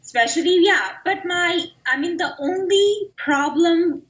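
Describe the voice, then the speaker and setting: A young woman from Bangladesh, a guest in an interview, talking haltingly about her life with many "I mean" fillers. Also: very high-pitched, a bit bright, roomy sound, lo-fi sound. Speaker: guest, activist. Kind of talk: personal interview.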